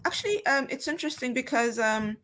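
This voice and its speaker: A woman's voice: high-pitched, animated, fairly quick, fairly bright tone, slight background noise. A woman speaking as a guest in a casual conversation, feeling her way through answers and correcting herself as she goes. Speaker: guest author and journalist. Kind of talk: casual interview chat.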